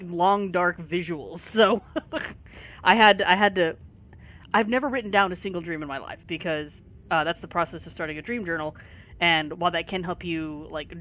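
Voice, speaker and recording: A tired gaming video creator, short on sleep and fighting allergies, giving a casual rambling commentary full of pauses. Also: mid-range voice, a bit bright. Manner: rambling, many pauses and fillers. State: voice affected by allergies.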